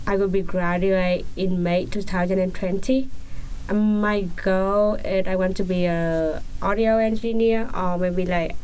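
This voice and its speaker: A woman in her twenties from Vietnam, a native Vietnamese speaker with a Vietnamese accent, answering interview questions in simple, halting English while she searches for words. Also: fairly low pitch, a little mellow, a little hiss or hum, poor audio. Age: 20s. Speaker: interview guest, broadcasting student.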